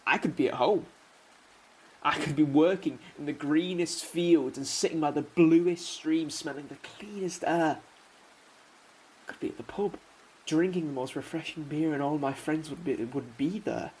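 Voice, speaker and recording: An actor playing a bitter, homesick soldier in a radio drama, brooding and full of rhetorical questions. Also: male voice, on the high side, normal speed, natural voice, rough recording. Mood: homesick, despairing. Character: bitter, homesick young soldier, weary of war. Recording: faint hiss behind voice.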